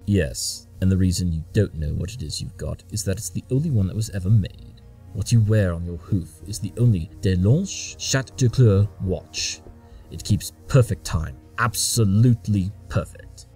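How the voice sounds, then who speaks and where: A man's voice: low-pitched, moderate pace, mellow, faint music behind. An actor playing a brilliant, imperious detective in an audio drama, condescending and impatient as he lays out deductions. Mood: smug, explaining. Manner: clipped deductive monologues, condescending.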